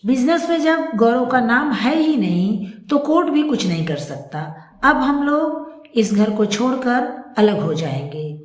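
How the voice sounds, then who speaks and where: Resolute, wronged young mother played by a female narrator, grave and earnest, higher voice, roomy audio story. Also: very animated, unhurried. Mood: resigned, firm. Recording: echoey room.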